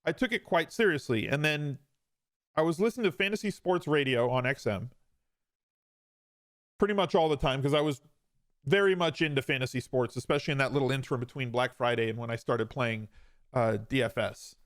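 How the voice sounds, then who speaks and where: A man's voice: medium pitch, a little fast, dry recording, studio quality. A man in his forties hosting a podcast, telling his own story in a casual, conversational way with emphatic repeats and some self-deprecation. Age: 40s. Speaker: podcast host.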